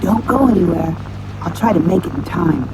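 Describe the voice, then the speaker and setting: Teasing superheroine, breezy, crackly radio transmission, heavy static. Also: female voice, lowish voice, expressive, normal speed. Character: cocky female space superheroine on a crackly transmission. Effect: breaking-up radio transmission.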